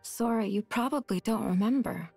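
An actress playing a kind, earnest young female warrior mage in a video game, with a calm, gentle voice. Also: fairly high pitch, moderate pace, creaky, no echo, faint music behind.

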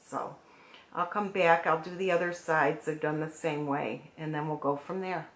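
A woman with a low, gravelly, hoarse voice explains each step of a painting craft in a calm, gentle way. Her voice is recorded at a work table in an echoey room with a faint hiss behind it. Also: moderate pace. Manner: calm, gentle instructional talk while working. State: hoarse, froggy voice.

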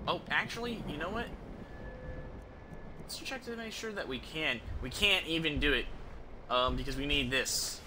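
A man's voice: on the high side, expressive, normal speed, long pauses, noisy background. A young adult man giving casual, rambling gameplay commentary as a streamer, indoors at home. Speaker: game streamer. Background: game music.

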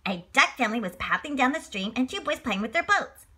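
A woman's voice: sits high, expressive, fairly quick, bright, forceful tone, breathy edge. A woman reading a children's storybook aloud, with playful, chatty asides to young listeners. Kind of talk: animated read-along narration.

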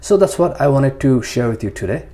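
A middle-aged man talking casually to camera in his living room, rambling with many fillers, his voice slightly rough. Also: medium pitch, expressive, fairly quick, mellow. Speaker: vlogger. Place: living room with large windows.

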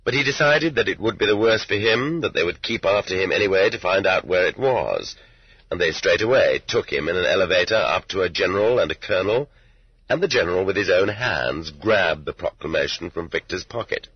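A man reading literary prose as an audiobook narrator, in a measured yet expressive storytelling manner. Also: low voice, breathy edge. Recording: dull lo-fi roomy cassette audiobook.

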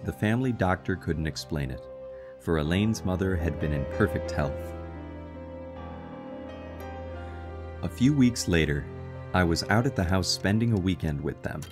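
A man playing an earnest, worried fiancé in an audio drama, speaking politely and seriously in a measured way. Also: sits low, animated, over music. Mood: somber narration. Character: earnest, worried young fiancé telling his strange story. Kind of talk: audio drama acting and narration.

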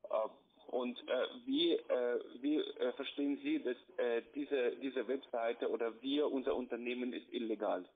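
A call centre salesman, a man arguing on the phone in a halting, repetitive, insistent way. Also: medium pitch, fairly even intonation. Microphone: telephone call. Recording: tinny narrow-band phone line.

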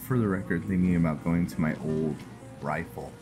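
A man's voice: low voice, fairly even intonation, moderate pace. A man giving casual playthrough commentary on a roleplay game, thinking aloud with mild exclamations. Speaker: gaming host. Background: game music.

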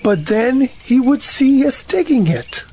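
A woman playing a slow, dreamy honey bear. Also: sits high, sing-song, dark tone, slight background noise, full character voice. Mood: pondering. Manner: slow, ponderous, musing. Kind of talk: audio drama acting.

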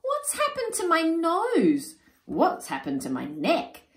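A woman's voice: deep voice, expressive, a little slow. A woman voicing a herd of vain warthogs, reading a storybook aloud. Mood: panicked. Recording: roomy echo.

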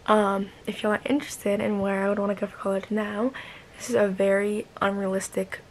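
A woman's voice: medium pitch, flat delivery, a little fast. A girl in her early teens chatting casually to camera in a daily vlog, rambling and often trailing off. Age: young teenager, about 13. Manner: rambling, trailing off with "but yeah". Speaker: vlogger. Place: bedroom at home, sometimes inside a car. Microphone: camera held at arm's length. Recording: clear background noise, handheld camera audio.

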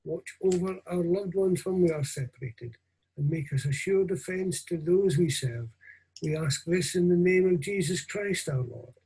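Older man praying, very husky voice. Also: sits high, mellow, rough recording. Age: 60s or older. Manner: solemn, reverent, deliberate. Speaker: minister leading prayers. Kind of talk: formal prayer. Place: small room at home. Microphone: video call or webcam at desk distance.

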